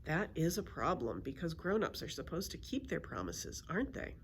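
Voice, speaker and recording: A woman reading a children's story aloud in an expressive storytelling style. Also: low voice, normal speed, slight background noise. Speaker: library story reader.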